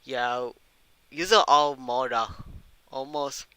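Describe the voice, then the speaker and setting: A male game streamer speaking halting non-native English in casual live commentary over a windy, uncovered microphone. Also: medium pitch, pushed and bright, clean tone, dry recording, poor audio. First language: not English. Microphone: microphone without its cover.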